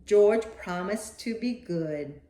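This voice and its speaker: A woman's voice: mid-range voice, slow pace, dark tone, echoey room. A woman reading a children's picture book aloud expressively, with dramatic delivery and playful asides to a young listener.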